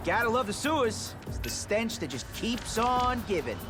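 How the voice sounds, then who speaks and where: A man's voice: sits high, sing-song, moderate pace, music in the background. A man voicing a cocky, wisecracking teenage mutant turtle in a cartoon game, sarcastic and slangy. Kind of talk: cartoon video game acting.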